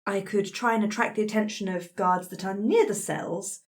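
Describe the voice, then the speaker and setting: A woman playing a tabletop roleplaying game, chatting casually in and out of character with polite, gently prim phrasing. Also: mid-range voice, normal speed.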